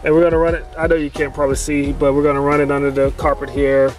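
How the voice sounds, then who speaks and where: A man's voice: on the high side, monotone, fast talker, faint music behind. Rambling man filming a truck install. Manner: rambling, self-correcting, filler-heavy. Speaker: DIY vlogger installing a CB radio. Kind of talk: casual how-to narration while working. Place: inside a pickup truck cab and garage.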